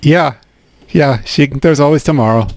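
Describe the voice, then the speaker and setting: A man's voice: on the high side, unhurried, darkish tone, no room sound. A man hosting a let's play, translating and commenting on a game in a casual, wry way. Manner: casual, wry, dry humor. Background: game music.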